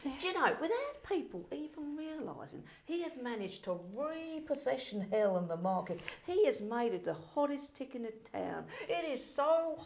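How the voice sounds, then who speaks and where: An actress in a comedy play, voicing a brisk, bossy director from heaven with an emphatic, theatrical manner. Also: female voice, sits high, big pitch swings, vocal fry, a little hiss or hum, heavily acted. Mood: impressed, emphatic. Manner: emphatic, theatrical, repeats words for effect. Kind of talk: comedy stage play acting.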